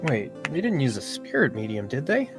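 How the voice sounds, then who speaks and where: A man's voice: mid-range voice, big pitch swings, normal speed, over music. A man playing a young defense lawyer, earnest, unsure and sympathetic, in an amateur game voice-over. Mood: concerned, curious.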